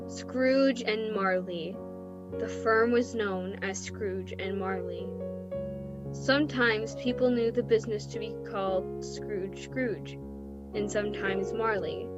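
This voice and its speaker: A woman's voice: medium pitch, dull audio, close to an everyday voice. A young woman narrating a classic story for a school radio play in a scripted storybook style. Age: teenager or young adult. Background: music.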